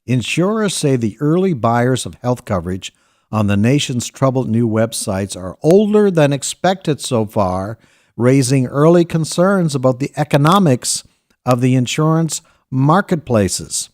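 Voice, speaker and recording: An American man hosts a talk radio show from a studio, speaking at a medium pitch. He talks straight to his audience in an emphatic monologue, repeating phrases for weight and posing rhetorical questions. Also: sing-song, a little slow, darkish tone. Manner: addresses listeners directly with rhetorical questions. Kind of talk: talk radio monologue on news, reading articles aloud.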